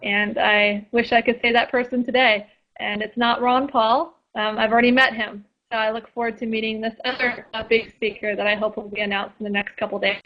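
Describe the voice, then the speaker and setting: Woman, radio interview guest, chatty, lots of fillers, slightly high, dull lo-fi sound. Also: little pitch movement, brisk.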